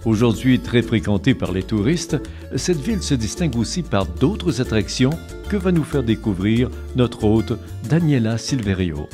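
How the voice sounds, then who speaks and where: A man's voice: mid-range voice, big pitch swings, normal speed. A man reading scripted travel-show narration and voice-over translation. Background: background music.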